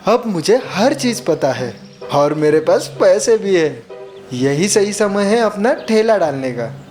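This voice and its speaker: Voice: medium pitch, big pitch swings, a little mellow, faint music behind, pitched above the performer's own voice. A storyteller voicing a smug, scheming young male street-food vendor in a moral story. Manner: smug, drawn-out. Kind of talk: moral-story narration with character voices.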